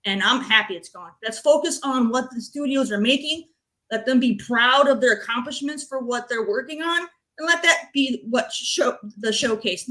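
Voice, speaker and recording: Higher-pitched woman, blunt and emphatic. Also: fairly bright tone. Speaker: gaming show panelist. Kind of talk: opinionated panel discussion.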